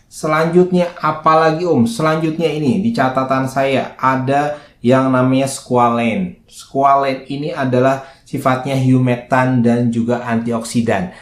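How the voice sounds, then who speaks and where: A man with a mid-pitched, slightly hoarse voice talks in a roomy, echoing space. He explains things informally as a video host, often posing a question to himself and answering it. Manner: chatty, asks himself questions then answers them. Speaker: skincare educator and video host. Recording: roomy, echoing indoor sound.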